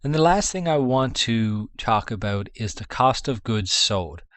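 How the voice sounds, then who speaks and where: A man's voice: medium pitch, animated, measured pace, fairly bright tone, no room sound. A man with a Canadian accent, giving rambling business advice in a casual vlog. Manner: rambling, with restarts and fillers. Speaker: dropshipping vlogger.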